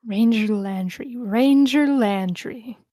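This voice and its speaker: A woman playing an eerily calm, menacing villainess in a screenplay table read, cold and mocking. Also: a little high, takes it slowly, no echo, studio quality.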